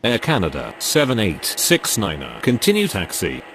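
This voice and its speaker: A man's voice: lowish voice, wildly swooping pitch, normal speed, fairly bright tone, slight background noise, exaggerated voice. A man speaking formulaic aviation radio calls for a flight control game. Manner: formulaic, procedural delivery. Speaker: pilot and air traffic control radio voice. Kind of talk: scripted aviation radio phraseology in a simulation game.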